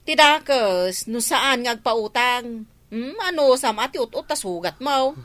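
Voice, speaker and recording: A shrill nagging wife. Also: female voice, expressive, a little slow, a bit bright. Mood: scolding. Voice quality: shrill, scolding, voice breaking into sobs. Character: exasperated nagging wife, short of money. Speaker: radio drama actor. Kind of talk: Ilocano radio drama acting.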